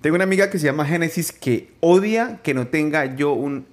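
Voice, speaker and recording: A man with a Colombian Spanish accent hosts a relaxed faith podcast. He jokes and rambles and often restarts his sentences. His voice sits a little high for a man. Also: fairly quick. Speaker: podcast host. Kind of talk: casual faith podcast interview.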